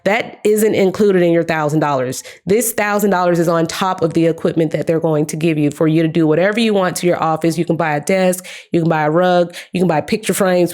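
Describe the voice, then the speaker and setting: A young woman talks to her viewers in a casual, upbeat vlog, her voice sitting a little low. She is hoarse and her voice is giving out, but she keeps chatting and calls her viewers "guys.". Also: darkish tone. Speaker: career advice vlogger. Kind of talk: casual talk to camera.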